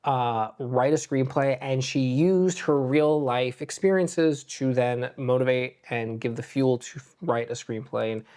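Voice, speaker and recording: A man's voice: medium pitch, a little slow, hardly any pauses, darkish tone, smooth. A man co-hosting a casual panel chat, talking loosely and peppering his speech with filler words. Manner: loose and chatty, filler "like" everywhere. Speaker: co-host.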